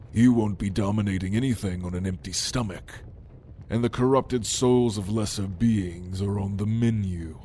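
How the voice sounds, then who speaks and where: Man playing a slow, imperious demon lord. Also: lowish voice, a little mellow, a little hiss or hum. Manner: slow, imperious, theatrical, menacing. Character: proud, commanding male demon lord mentoring a newborn. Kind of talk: ASMR roleplay acting addressed to the listener.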